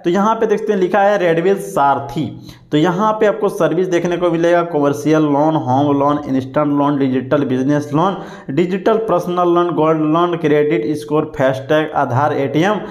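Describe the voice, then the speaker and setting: A man's voice: a little high, moderate pace, darkish tone, roomy sound. A man narrating a phone screen-recording tutorial, listing app features one by one.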